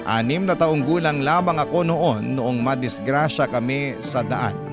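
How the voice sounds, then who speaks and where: Male host for dramatic letter readings: medium pitch, earnest classic radio narration, strong music bed. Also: measured pace. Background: prominent background music.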